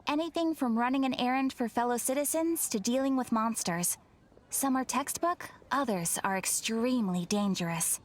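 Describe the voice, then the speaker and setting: A woman's voice: a little high, normal speed, lightly acted. A woman playing a courteous young guild receptionist in a video game, speaking in a polite, formal, composed way. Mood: encouraging, formal. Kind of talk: scripted video game character dialogue.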